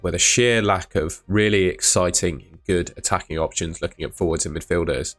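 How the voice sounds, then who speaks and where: Croaky low-voiced man. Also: a bit bright. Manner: chatty, thinks aloud with asides. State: croaky voice from shouting. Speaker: fantasy football channel host. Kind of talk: casual talk to camera.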